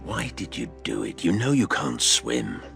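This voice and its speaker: Audio drama: wry middle-aged father spinning a yarn, low creaky voice, animated intonation. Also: soft background music, heavily acted. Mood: wry, fond. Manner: measured, expressive storyteller. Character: fond, wry father, storytelling former innkeeper's son.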